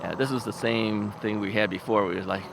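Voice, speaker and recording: Gravelly man on an ebike. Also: on the low side, steady tone, a little fast. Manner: rambling, chuckling, self-questioning. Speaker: vlogger. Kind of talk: casual thinking aloud while riding. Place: outdoors on suburban streets, riding an ebike. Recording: traffic and wind noise outdoors.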